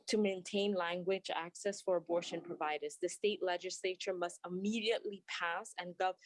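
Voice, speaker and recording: A young woman doctor reads earnest prepared testimony at a hearing, her voice low and steady. She stumbles and restarts now and then. The sound is dry and close, with no room echo. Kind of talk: prepared testimony, read aloud. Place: at work, remote testimony.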